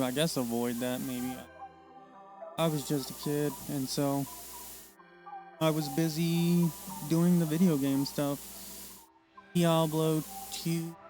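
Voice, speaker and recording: An American man from the Missouri, Oklahoma and Arkansas region talking casually and unscripted about himself, rambling haltingly in short fragments. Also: a little high, warm tone. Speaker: presenter introducing himself.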